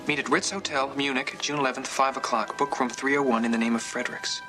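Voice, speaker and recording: A young actor playing an earnest, level-headed amateur detective in a 1970s TV drama. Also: male voice, medium pitch, fairly even intonation, over music, lightly acted. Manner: earnest and brisk.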